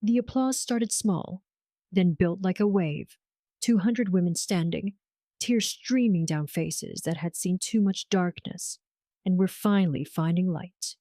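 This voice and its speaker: A woman's voice: on the low side, a little slow, warm tone, no echo, clean studio sound. A woman storyteller reading a scripted romance story as narration.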